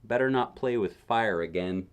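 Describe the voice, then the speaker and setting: A man's voice: lowish voice, normal speed, smooth, natural voice. A man voicing an imaginative, pensive eleven-year-old boy in a picture book, musing as if to himself.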